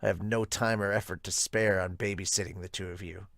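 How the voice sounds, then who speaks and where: A man voicing a stern, exasperated high school boy grumbling at his friends in a manga dub. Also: fairly even intonation, fairly quick, creaky, a bit bright, no room sound, studio quality.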